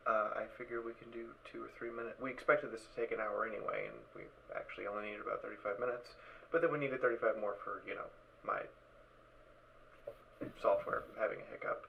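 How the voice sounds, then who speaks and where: A man speaks as the host of an online reading stream, talking casually in a hesitant, rambling way. The sound is muffled and lo-fi, with clear noise in the background. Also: on the low side, steady tone, quick pace. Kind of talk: casual livestream chat after a show.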